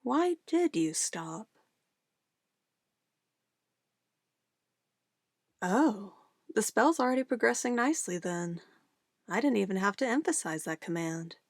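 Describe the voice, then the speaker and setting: A woman's voice: mid-range voice, very animated, moderate pace, no room sound, studio quality. A woman playing an obsessive, possessive witch in an ASMR roleplay, sweetly menacing and cooing in an intimate, theatrical way. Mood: condescending, pleased. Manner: intimate, cooing, theatrical, shifting between soft whispers and firm commands.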